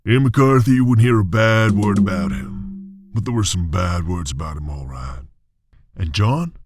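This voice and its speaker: A folksy old-west narrator for a radio serial. His voice is fairly low and wry as he spins the tale. Music plays faintly behind him. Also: animated. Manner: folksy, drawn-out, wry storytelling.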